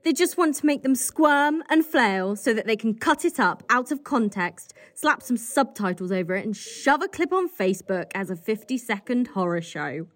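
A woman's voice: fairly high pitch, lively intonation, moderate pace, hardly any pauses, natural voice. A British woman playing a cynical, irritable political staffer in an audio comedy, blunt and scathing. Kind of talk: audio comedy drama acting.

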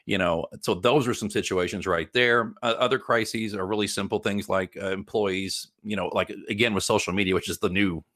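A man's voice: fairly low pitch, steady tone, normal speed, hardly any pauses, fairly bright tone, dry recording, studio quality. A man speaking as a guest PR consultant, explaining informally with anecdotes in an emphatic, rambling way and often correcting himself.

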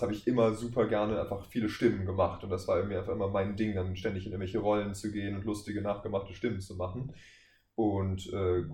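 A man's voice: on the low side, a little mellow. A man in his twenties from Germany talking casually as an interview guest, with a jokey, self-deprecating manner. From Wuppertal, Germany. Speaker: voice actor, podcast guest. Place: indoor room shared with the hosts. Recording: roomy echo, faint background noise.

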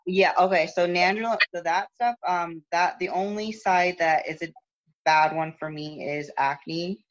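A woman's voice: steady tone, measured pace, a little hiss or hum. Chatty woman guest on a muffled phone line. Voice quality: lost her upper register, no longer high. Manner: chatty, loose, many fillers and restarts. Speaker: guest, competitive lifter. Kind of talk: casual interview over a phone call. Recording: muffled phone-call audio.

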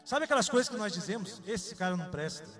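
A man with a high voice preaching in an easygoing, conversational way, often turning to his listeners with a question. He was born in Salvador, Bahia. Faint music plays behind him. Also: animated, quick pace, a bit bright. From Salvador, Bahia, Brazil. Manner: conversational, asks the audience questions. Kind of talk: sermon.

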